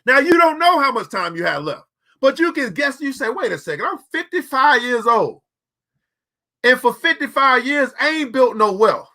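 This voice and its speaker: High, bright-voiced man in his forties. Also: very animated, normal speed. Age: 40s. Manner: preachy, repeats phrases, talks straight to the audience. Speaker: podcast host. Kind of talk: motivational money talk.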